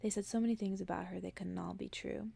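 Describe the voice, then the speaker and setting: Female ASMR storyteller: gentle breathy whisper, dark soft tone, strong creak, slight background noise. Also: medium pitch, steady tone, quick pace. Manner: hushed, gentle storytelling with casual asides. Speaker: ASMR host reading a storybook aloud. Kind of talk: ASMR bedtime story reading.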